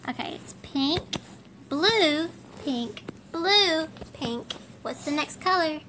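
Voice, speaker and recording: A woman reading a children's picture book aloud in an animated, encouraging way. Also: high voice, slow pace. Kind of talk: read-aloud children's storybook narration. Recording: faint background noise.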